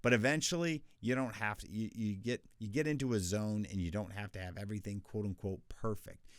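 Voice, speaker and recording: Deep-voiced man giving casual advice. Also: a little fast, no echo, studio quality. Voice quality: deep bass voice. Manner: chatty, conversational, with restarts and asides. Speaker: voice actor teaching freelancers. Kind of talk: casual tutorial talk.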